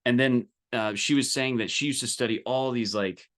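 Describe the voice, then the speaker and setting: A man with a mid-pitched voice leads an informal coaching session. He speaks directly and emphatically in colloquial, salesy language. He often stops to check that his listeners are following. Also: normal speed, a bit bright. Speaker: business coach leading a group training call. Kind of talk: informal live coaching talk.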